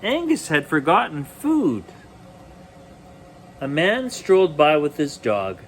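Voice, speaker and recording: A man reading a children's bedtime story aloud expressively, with deliberate dramatic pauses. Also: on the high side, wildly swooping pitch, a little hiss or hum.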